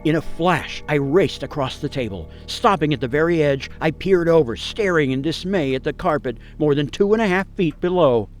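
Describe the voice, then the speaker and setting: A man's voice: fairly high pitch, lively intonation, moderate pace, hardly any pauses, faint music behind. A man narrating a scripted radio story in a theatrical, suspenseful, dramatic way.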